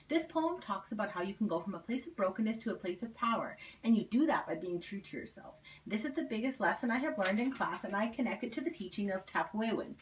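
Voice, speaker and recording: A woman's voice: medium pitch, slightly rough, rough recording. A young woman giving a prepared, personal presentation as a student.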